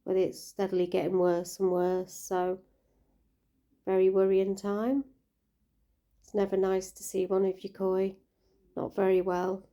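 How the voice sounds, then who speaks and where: Croaky-voiced woman, gentle and chatty. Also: mid-range voice, completely flat delivery, a little slow. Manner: gentle, caring, chatty with fillers. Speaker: koi keeper vlogger. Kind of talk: casual vlog narration.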